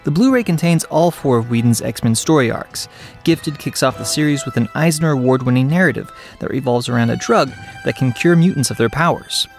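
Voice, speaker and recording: A man's voice: mid-range voice, brisk. A man presents an entertainment review in a mid-range voice. He speaks as the host, moving between address to viewers and scripted narration. Light music plays underneath him. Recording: music behind the voice. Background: background music.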